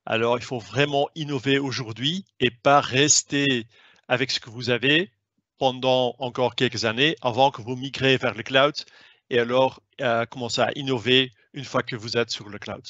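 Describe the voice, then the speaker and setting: A man's voice: medium pitch, steady tone, moderate pace, fairly bright tone, no echo. A man whose first language is not French, presenting a webinar as a sales lead in a hesitant way, with many fillers and restarts. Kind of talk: online presentation. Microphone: video call. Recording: dry, slightly dull online call audio.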